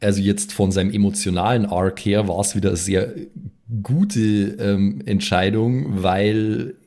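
A man with a low, dark and mellow voice co-hosts a podcast. He talks over a story with friends in a casual, analytical way. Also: fairly even intonation, a little slow. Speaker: podcast co-host discussing the story.

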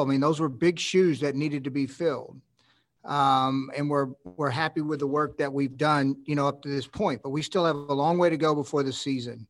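A middle-aged man, a team executive, answering at a press conference in a rambling, conversational way with frequent restarts. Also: a little high.

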